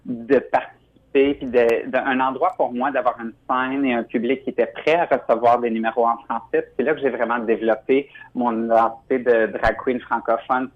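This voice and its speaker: A man speaks over a phone line that sounds muffled and slightly dull. He talks in a relaxed, chatty way, pausing on fillers and restarting phrases. His pitch sits in a middle range. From Quebec. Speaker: drag performer guest. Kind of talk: phone interview.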